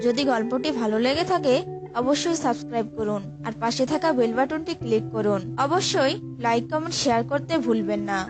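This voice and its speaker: A woman narrating a scripted story for children as a storyteller. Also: high-pitched. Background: prominent music.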